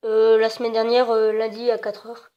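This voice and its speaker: Woman, prank caller, fairly high voice, hesitant, meekly persistent, muffled telephone sound. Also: completely flat delivery, normal speed. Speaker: prank caller posing as a customer. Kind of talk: prank phone call. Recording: dull, band-limited phone line.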